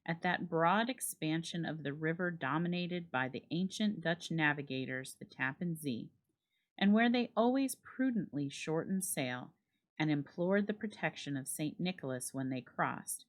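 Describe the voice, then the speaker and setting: A woman reads a literary story aloud as a dramatic narrator, with measured, expressive phrasing. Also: low-pitched. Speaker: library staff reader and host.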